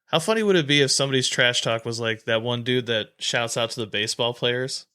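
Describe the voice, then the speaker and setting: A man's voice: medium pitch, fairly quick, pushed and bright, smooth. A man hosting a podcast in casual, joking group banter. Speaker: podcast host.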